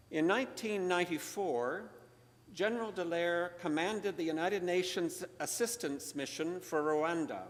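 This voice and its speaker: Formal higher-pitched man in echoey hall. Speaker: event host introducing a speaker. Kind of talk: formal scripted introduction. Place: large hall, public lecture. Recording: echoey hall with long reverb.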